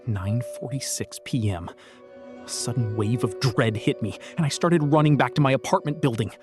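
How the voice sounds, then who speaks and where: A man's voice: mid-range voice, moderate pace, music in the background. A man narrating a first-person horror story, tense and often dropping to a whisper.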